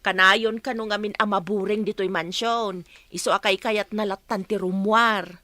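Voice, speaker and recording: A woman's voice: mid-range voice, fairly even intonation, normal speed, bright, forceful tone, no room sound, natural voice. A woman playing a talkative, cheeky housemaid with an Ilocano accent in a comedy radio drama. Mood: earnest. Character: sassy, talkative housemaid, respectful yet cheekily outspoken.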